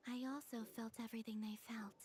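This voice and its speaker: A woman voicing a gentle, reserved young maiden in a video game, soft, breathy and earnest. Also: a little high, flat delivery, a little fast, a little hiss or hum, close to an everyday voice. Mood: solemn, gentle. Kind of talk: video game character acting.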